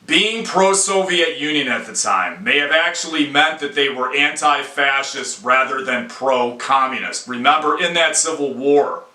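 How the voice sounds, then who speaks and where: Sharp, bright-voiced American man, echoey room. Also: mid-range voice, measured pace, hardly any pauses. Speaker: independent news commentator, video host. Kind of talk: opinion commentary to camera, outlined talk.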